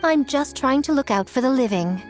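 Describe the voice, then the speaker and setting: A sly, lilting, high-voiced schemer. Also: female voice, expressive, moderate pace, faint music behind. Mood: arch, teasing. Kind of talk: video game cutscene acting.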